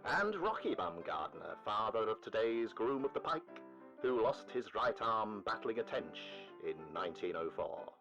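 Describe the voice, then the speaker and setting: A man's voice: on the low side, very animated, moderate pace, highs cut off. A man playing a formal old-time newsreel announcer in a comedy parody, florid and mock-solemn. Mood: solemn, grandiose. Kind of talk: vintage newsreel narration parody. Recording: music playing behind the voice.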